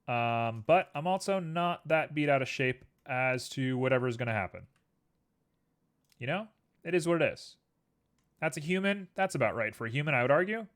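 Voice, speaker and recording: A young man talks in a loose, rambling way while he works on a 3D model on a live stream. His voice sits at a middle pitch, and he keeps up an easygoing running commentary, speaking both to his viewers and to the objects on screen. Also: animated, normal speed, a bit bright.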